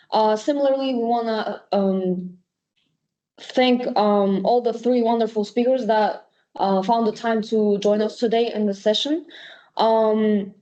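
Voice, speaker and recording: A woman's voice: fairly high pitch, mellow. A teenage girl hosting an online student panel, hesitant, with many fillers, on muffled video-call audio. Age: teens. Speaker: student host.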